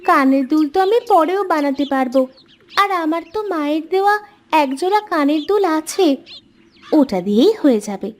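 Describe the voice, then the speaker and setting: Woman as a very high-pitched, earnest village girl. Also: expressive, moderate pace, a little mellow. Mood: earnest, pleading. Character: kind, wistful, generous poor village girl. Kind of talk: cartoon character dialogue.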